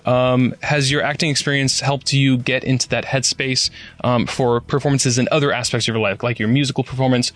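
A man's voice: mid-range voice, steady tone, brisk, a bit bright. A male radio host asking interview questions in a friendly, jokey way, starting with "um" and "uh.".

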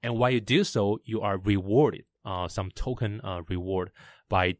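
Taiwanese man, halting speech, lots of fillers, lowish voice, dry close sound. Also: darkish tone, no room sound. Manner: halting, many fillers and restarts. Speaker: startup founder. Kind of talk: podcast interview.

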